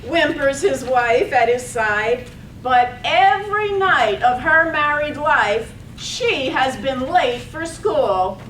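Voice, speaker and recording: A woman narrator giving a measured, phrase-by-phrase poetic reading of a staged radio play. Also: sits high, animated, fairly bright tone. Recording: distant echoey room, faint noise.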